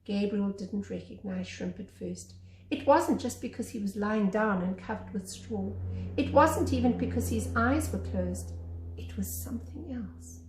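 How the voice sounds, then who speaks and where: A woman reading a children's story aloud as a teacher, with expressive narration. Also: fairly high pitch, a little hiss or hum. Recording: echoey room.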